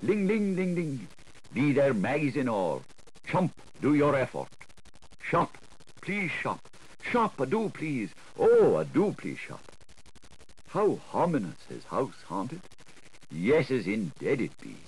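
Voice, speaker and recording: A man's voice: medium pitch, wildly swooping pitch, slow, darkish tone, slightly rough. A man reading a literary audiobook in a theatrical, playful way, shifting between questioning and answering voices. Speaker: audiobook reader. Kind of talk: dramatic literary narration. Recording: scratchy, noisy 1980s audiobook recording.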